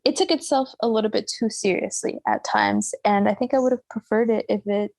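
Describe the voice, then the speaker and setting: Woman, mid-pitched, dry close recording, opinionated, casual podcast book talk. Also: wildly swooping pitch, fairly quick, a little mellow, no room sound. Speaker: book club podcast guest.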